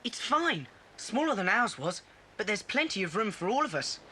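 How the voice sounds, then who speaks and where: A sensible boy adventurer in a children's tape drama. The delivery is practical and cautious in a bright, clear-edged tone. There is faint tape hiss behind. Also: medium pitch, big pitch swings, normal speed. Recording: faintly hissy old cassette tape.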